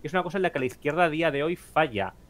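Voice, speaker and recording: A man's voice: fairly high pitch, animated, very fast, a bit bright. A young man from explaining politics emphatically on a video call, acting out quotes and rhetorical questions. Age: young adult. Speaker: debate panelist. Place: room at home, video call.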